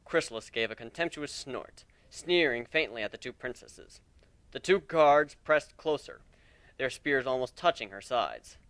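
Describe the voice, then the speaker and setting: A man's voice: medium pitch, expressive, a little slow, fairly bright tone, clean tone, no echo. A man narrating a fan fiction story in a scripted, dramatic reading style.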